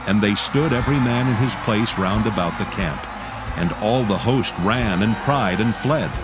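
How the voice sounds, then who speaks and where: A man narrating dramatized scripture in a formal, measured storytelling manner. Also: lowish voice, loud music behind the voice, over a noisy crowd.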